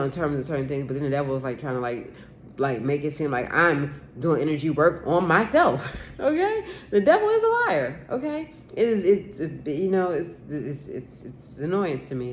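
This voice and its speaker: A woman's voice: low voice, a little hiss or hum. A woman in her forties talking straight to camera in a rambling vlog, aggrieved and insistent, swearing casually and restarting her sentences often. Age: 40s. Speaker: vlogger. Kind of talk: rambling solo vlog monologue.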